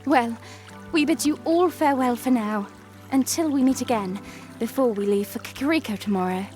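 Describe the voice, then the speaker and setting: Woman acting a sweet, high-voiced young princess. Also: moderate pace, darkish tone, soft background music, lightly acted. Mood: gracious, cheerful. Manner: sweet, chirpy, polite formal phrasing. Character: playful young princess, sweet, teasing and gracious. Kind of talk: audio drama character acting.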